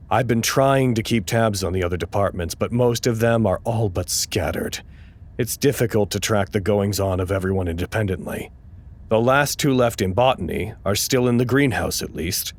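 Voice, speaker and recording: Guy acting as earnest anxious research staffer in an audio drama, lowish voice, measured n kinda hesitant. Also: lightly acted. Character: earnest, anxious male research-site staffer logging reports. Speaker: actor in an audio drama podcast. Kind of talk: naturalistic audio drama acting, log narration and dialogue.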